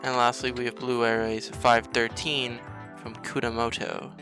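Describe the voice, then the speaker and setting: A man's voice: mid-range voice, level tone, moderate pace, over music. A man hosting a gaming video, explaining a game step by step in tutorial-style commentary.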